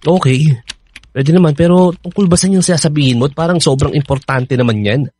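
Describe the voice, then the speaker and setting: A man's voice: on the high side, fairly quick, darkish tone, slightly rough. A man playing an evasive, exasperated young boyfriend, defensive and placating, in a radio drama. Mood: distracted, reluctant.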